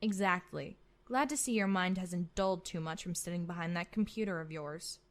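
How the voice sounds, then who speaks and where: Woman playing a proud, sharp-tongued starship admiral. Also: on the low side, normal speed, close to an everyday voice. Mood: coolly confident. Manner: curt, authoritative, sardonic. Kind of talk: amateur sci-fi roleplay acting.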